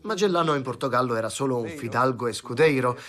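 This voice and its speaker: A man in his fifties giving a historian's interview, explaining in a calm manner, heard as a dubbed voice-over. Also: medium pitch, fast, crowd noise.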